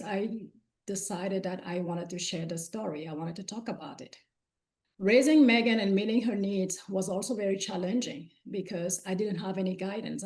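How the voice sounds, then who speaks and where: Woman from Cameroon, French native, mid-pitched, earnest conference speaker with fillers. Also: a little mellow. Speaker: guest speaker. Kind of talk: conference panel talk.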